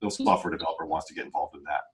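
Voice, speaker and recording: A man with a deep voice talks very quickly in a casual panel discussion. He thinks aloud and explains things in a rambling way.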